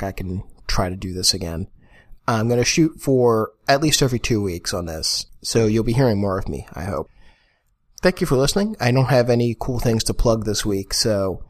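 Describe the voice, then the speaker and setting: A young man hosting a solo podcast, talking casually into a condenser mic on a boom arm at home, mildly grumbling, with fillers. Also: animated, moderate pace. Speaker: podcast host. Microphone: USB condenser mic on a boom arm.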